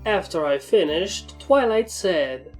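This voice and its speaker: A woman narrates a scripted story at a very slow pace. Her voice is a little low for a woman. Music plays softly behind her. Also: animated.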